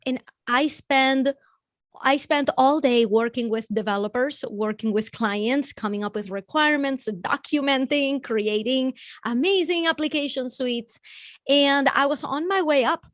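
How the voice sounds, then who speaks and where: A woman in her forties from Venezuela, a native Spanish speaker with a Venezuelan accent, talking as a podcast guest in an energetic, motivational, straight-talking way. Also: sits high, a little slow, no echo, studio quality. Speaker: guest business coach. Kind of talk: podcast interview conversation.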